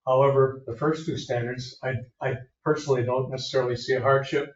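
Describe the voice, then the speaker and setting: Male meeting chair, plainspoken and measured, heard from across an echoey room. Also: medium pitch, fairly even intonation. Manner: measured, conversational. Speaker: board chair. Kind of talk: public meeting discussion. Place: village hall meeting room. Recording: echoey room, distant muffled mic.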